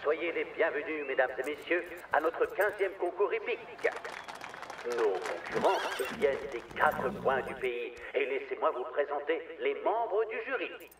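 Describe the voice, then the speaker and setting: A man's voice: fairly high pitch, animated, a little slow, far from the mic. A man voicing a formal, ceremonious horse show announcer in a cartoon dub. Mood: formal announcing. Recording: echoey loudspeaker sound from afar, noisy.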